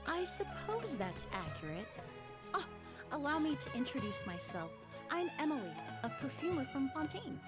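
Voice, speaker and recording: A woman voicing a calm, knowledgeable young woman perfumer in a game, speaking in a measured, polite way. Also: high voice. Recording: game audio with faint music behind.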